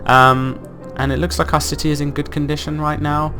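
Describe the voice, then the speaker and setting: A man with a croaky voice from being ill, hosting casual gameplay commentary and thinking aloud in an upbeat, rambling way. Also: a little high, flat delivery, a little fast, sharp tone, faint music behind. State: croaky from illness. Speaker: let's play host.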